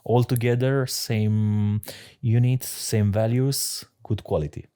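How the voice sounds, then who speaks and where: A man from Romania, a sommelier guest answering interview questions in halting English with many fillers and laughs. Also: medium pitch, fairly even intonation, warm tone, clear, resonant tone. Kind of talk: casual interview talk.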